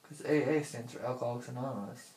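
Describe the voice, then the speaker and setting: A man's voice: medium pitch, fairly even intonation, fast talker, darkish tone. A young adult man talking casually to himself in an informal, rambling monologue that often interrupts itself. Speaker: personal audio diarist. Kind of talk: casual thinking-aloud monologue.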